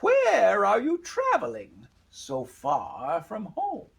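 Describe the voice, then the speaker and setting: A man voicing a very old, mysterious storybook woman who asks questions in a slow, drawn-out way. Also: sits high, wildly swooping pitch, vocal fry, fairly bright tone, slightly rough, pitched above the performer's own voice, exaggerated voice. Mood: curious.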